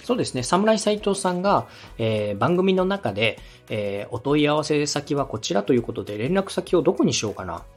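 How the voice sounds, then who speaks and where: A man's voice: a little high, big pitch swings, brisk, hardly any pauses, soft background music. A man hosting a podcast, giving feedback in a polite, encouraging way. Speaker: podcast host and adviser. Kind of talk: friendly podcast coaching talk.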